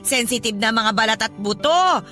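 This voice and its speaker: A high-pitched, demanding Filipina godmother. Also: lively intonation, normal speed, sharp tone. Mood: aggrieved, guilt-tripping. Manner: chatty, nagging, theatrically pleading. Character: demanding, wheedling older godmother guilt-tripping her goddaughter. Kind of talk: Tagalog radio drama acting. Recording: music behind the voice.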